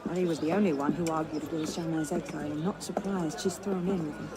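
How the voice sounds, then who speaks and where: An actress playing a confident, sharp-witted young noblewoman in a video game, with a poised, teasing and wry delivery. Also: low-pitched, fairly even intonation, quick pace, a loud crowd, close to an everyday voice. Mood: composed, knowing. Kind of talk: dramatic video game cutscene acting.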